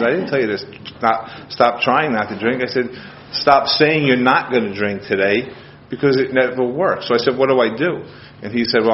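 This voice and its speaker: A man's voice: fairly low pitch, a little hiss or hum. A man from Queens giving a plain-spoken, self-deprecating talk at a meeting, full of restarts and a little choked up. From Queens, New York. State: choked up with emotion. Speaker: speaker at a recovery meeting. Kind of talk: personal recovery talk to a group. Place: meeting room. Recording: dull lo-fi room recording with faint ambience.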